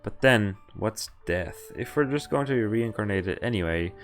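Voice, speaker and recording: A man streaming a visual novel, reading its lines aloud and breaking off to react and explain, with animated exclamations and groans. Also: fairly low pitch, normal speed, faint music behind.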